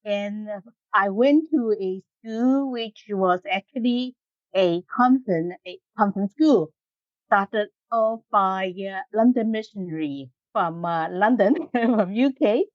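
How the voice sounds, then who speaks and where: A woman's voice: mid-range voice, slow pace, no echo, lo-fi sound. A middle-aged woman from Hong Kong with a Hong Kong accent, answering interview questions with many ums and short laughs. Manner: frequent ums and uhs, laughs between phrases. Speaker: guest engineer.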